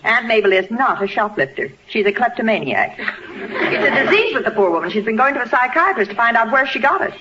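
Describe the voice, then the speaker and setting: A defensive, bossy wife in a radio comedy. Her delivery is lively and varied, under heavy hiss on a muffled old broadcast. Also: on the high side, brisk. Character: defensive, practical wife and mother. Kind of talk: 1940s radio comedy acting.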